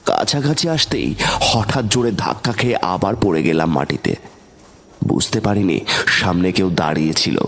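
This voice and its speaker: A man's voice: sits low, lively intonation, normal speed, fairly bright tone. A man giving a dramatic, suspenseful first-person narration of a scripted horror story.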